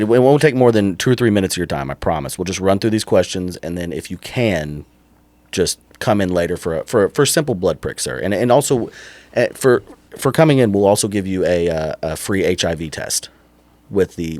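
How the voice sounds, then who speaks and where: A man playing an awkward, apologetic clinic manager on a prank phone call, halting, polite and officious. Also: sits low, animated, darkish tone, no room sound. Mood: reassuring, officious.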